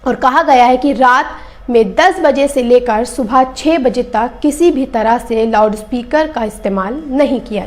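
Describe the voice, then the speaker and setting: Woman, high-pitched voice, news presenter, scripted commentary, direct address to viewers.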